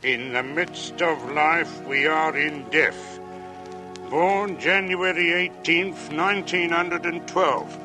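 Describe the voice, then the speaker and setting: An actor in a 1940s radio drama playing an uneasy, plain-spoken working man, a stonemason who talks in a halting mutter. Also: fairly high pitch, fairly even intonation, low-quality recording. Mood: solemn, deliberate. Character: uneasy working-class monument mason, plain-spoken and superstitious. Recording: old radio broadcast, music behind.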